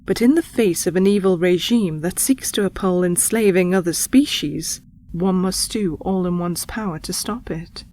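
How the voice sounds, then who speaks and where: A woman voicing a calm, principled, kindly alien co-pilot, speaking formally in a science fiction audio drama. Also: lowish voice, normal speed, a little hiss or hum, lightly acted. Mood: earnest, idealistic. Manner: composed, formal, articulate.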